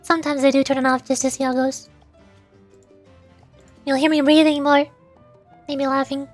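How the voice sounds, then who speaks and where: A woman's voice: sits high, flat delivery, normal speed, soft background music. A giggly woman streamer chatting casually to her viewers on a livestream.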